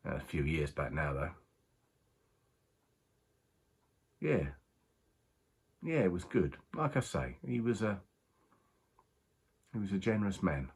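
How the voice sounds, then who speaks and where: A man acting a husky, low-voiced racing man. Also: full character voice. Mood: wistful. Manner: halting, confiding, colloquial, with pauses. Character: rueful working-class British racing man confiding a strange story. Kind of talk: dramatic monologue, conversational storytelling.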